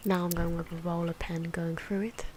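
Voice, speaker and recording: A soft-spoken woman giving ASMR drawing commentary, often slipping into a breathy whisper, with hesitant, unhurried phrasing. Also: lowish voice, level tone. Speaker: ASMR video creator narrating own drawing. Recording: noisy, pencil scratching and ambient sound. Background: pencil and pen noises, outdoor wind.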